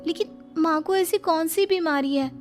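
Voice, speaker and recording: A woman's voice: very high voice, moderate pace, faint music behind, pitched above the performer's own voice. A woman voicing a young, dutiful daughter-in-law who is meek, apologetic and easily hurt. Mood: hurt, puzzled. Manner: apologetic, deferential.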